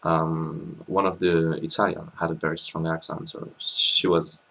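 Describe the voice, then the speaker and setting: A man in his twenties with a French accent, chatting casually as a guest, hesitant with many pauses and restarts, recorded on a laptop webcam in a small room. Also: extremely low pitch, little pitch movement, creaky, fairly bright tone. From France. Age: 20s. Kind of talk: casual interview chat.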